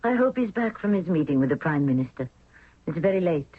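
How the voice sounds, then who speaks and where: A woman's voice: deep voice, very animated, a little fast, breathy edge, no room sound, exaggerated voice. An actress playing a devoted young Egyptian queen in a 1970s radio drama, earnest and formal. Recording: narrow, tinny 1970s radio recording.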